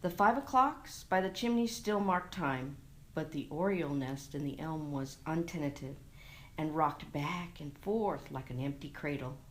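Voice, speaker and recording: A woman giving a dramatic reading of a literary short story, expressive and measured, recorded on a lavalier mic. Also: deep voice, slight background noise. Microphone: lavalier microphone into a phone.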